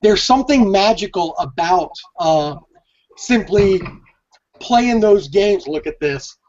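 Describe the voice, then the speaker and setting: A man's voice: sits high, expressive, takes it slowly, a little mellow. A man chatting casually on a panel video call, effusive and given to folksy exclamations. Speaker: collector panelist. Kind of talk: casual chat. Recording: dull lo-fi video-call audio.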